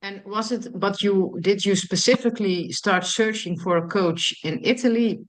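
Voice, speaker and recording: A woman hosting a casual interview, asking her questions in a tentative, slightly halting way. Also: mid-range voice, rough recording. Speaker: podcast host.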